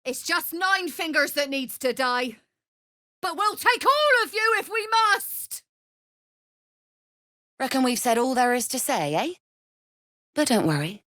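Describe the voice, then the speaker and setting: A woman's voice: high-pitched, big pitch swings, unhurried, long pauses, pushed and bright, dry recording, clean studio sound, exaggerated voice. A woman playing a shrewd, mercenary crime boss in a video game, wry and self-assured with cool menace. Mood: commanding, threatening, then cool, sly. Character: shrewd, mercenary female Zhentarim smuggler boss, threatening and wry. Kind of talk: video game character voice lines.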